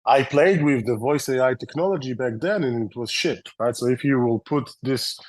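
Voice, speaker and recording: A man's voice: medium pitch, normal speed. A man in his thirties with an Israeli accent, a company founder answering interview questions in a loose, rambling way with many fillers and restarts.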